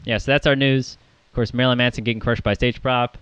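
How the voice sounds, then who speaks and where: A man's voice: mid-range voice, fairly even intonation, fast talker, a bit bright, clean tone, no room sound, highs cut off. A man co-hosting a casual podcast about music, rambling with plenty of fillers and little laughs. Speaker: podcast co-host.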